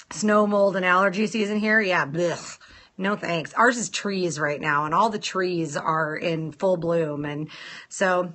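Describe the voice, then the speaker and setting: A woman hosting a casual live stream, chatting playfully with viewers and greeting them in a sing-song lilt, her voice a little rough from a sore throat. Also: mid-range voice, bright, forceful tone. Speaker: nail salon owner hosting a live stream.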